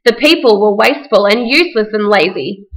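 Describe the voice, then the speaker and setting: A woman's voice: medium pitch, animated, fairly quick, poor audio. A woman narrating a rhyming children's story for a puppet show, reading from a script.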